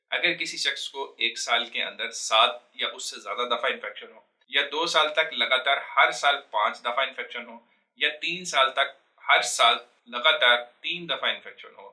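Bright-voiced calm doctor explaining. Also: male voice, mid-range voice, low-quality recording. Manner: calm, steady, instructive. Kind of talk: health explainer to camera. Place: small indoor studio.

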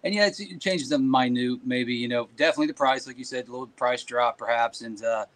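A man's voice: mid-range voice, fast. A man chatting casually as a panel guest, with a folksy, joking way of talking. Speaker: podcast guest, shop owner. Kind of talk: casual chat.

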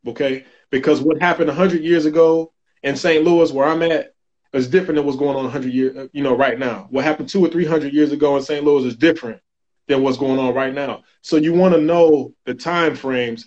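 A man in his forties explaining family history on a video call, steady and instructive, often checking in with "okay?". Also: mid-range voice, dull audio. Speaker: genealogy guest. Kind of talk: explaining in an interview. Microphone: webcam on video call.

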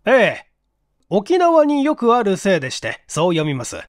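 A man voicing a courteous, earnest police detective chief explaining things in a measured, polite way in an audiobook. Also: high-pitched, huge pitch swings, no room sound, clean studio sound, exaggerated voice. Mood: explanatory. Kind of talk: dramatic audiobook dialogue.

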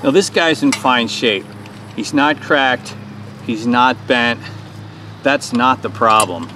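A man's voice: medium pitch, takes it slowly, background noise. A man in his forties hosting a hands-on small engine repair tutorial outdoors on a lawn, explaining each step in a plain, folksy way.

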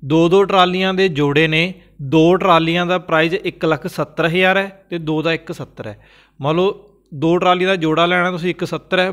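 A man with a Punjabi accent, host of a farm machinery sales channel, reads sale listings in a voice-over with brisk salesman patter and repeats prices and phone numbers. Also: high-pitched, a bit bright.

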